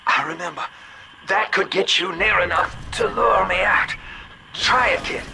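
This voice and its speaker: Wry schemer amid noisy ambience. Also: male voice, high-pitched, measured pace, creaky, heavily acted. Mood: pained, resigned. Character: Augustus Sinclair, slick older businessman, wry, world-weary schemer. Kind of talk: video game voice acting.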